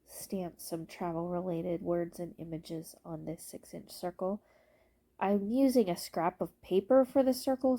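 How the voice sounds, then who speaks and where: A woman with a stuffy, congested voice narrating her scrapbooking process video, explaining her supplies in a relaxed way with occasional ums. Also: lowish voice, creaky, slightly rough. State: stuffy, congested from allergy shots.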